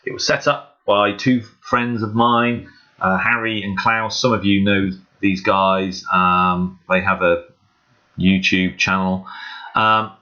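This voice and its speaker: Low-voiced guy chatting through a screen tour, bit hesitant but earnest, crisp edge, dull lo-fi mic. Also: steady tone, slow, bright, forceful tone. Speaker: shortwave radio hobbyist and video host. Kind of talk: casual screen walkthrough vlog. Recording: dull, muffled lo-fi microphone.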